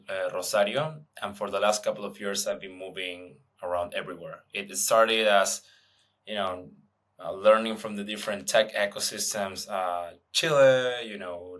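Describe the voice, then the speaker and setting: A man's voice: lowish voice, fairly bright tone. A young man from Argentina, an English speaker, answering interview questions casually with many fillers and restarts. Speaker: guest, venture investor.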